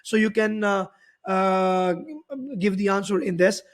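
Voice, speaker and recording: A man's voice: high-pitched, little pitch movement, measured pace. A man teaching physics, explaining a worked problem step by step and often repeating and rephrasing his points.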